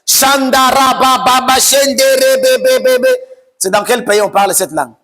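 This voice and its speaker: A man preaching a sermon as pastor, shouting and sing-song, repeating phrases over and over. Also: very high voice, a little slow. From Democratic Republic of the Congo.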